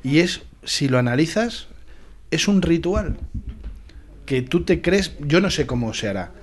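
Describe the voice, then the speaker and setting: A man's voice: fairly high pitch, animated, a little slow. A man with an accent from Spain talking casually and emphatically as a panelist in a film discussion, full of firm opinions.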